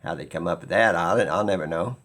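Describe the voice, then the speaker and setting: A man talking informally and skeptically as he explains something, halting and pausing mid-sentence as he muses. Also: low-pitched, fairly bright tone. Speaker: video host.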